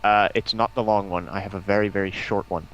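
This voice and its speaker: A man's voice: fairly low pitch, fairly even intonation, a little fast, a bit bright, muffled recording. A man chatting casually as a stream guest, with a cheeky, bantering manner. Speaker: stream guest, hobby video maker. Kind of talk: casual chat. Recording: clearly noisy home voice-chat line.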